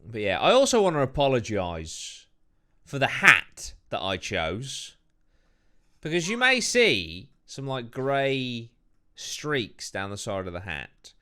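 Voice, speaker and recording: A man's voice: mid-range voice, huge pitch swings, takes it slowly, pushed and bright. A man casually chatting and reacting to his own paranormal video as host, rambling and swearing. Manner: laid-back, swears a lot, rambling. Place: home room.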